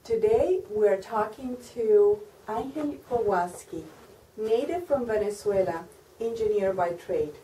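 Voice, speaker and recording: A woman's voice: mid-range voice, lively intonation, a little slow, mellow, slight background noise. Woman host asking questions, echoey room. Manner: brief, direct questions. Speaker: interviewer, host. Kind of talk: interview questions. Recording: echoey room, mic at a distance.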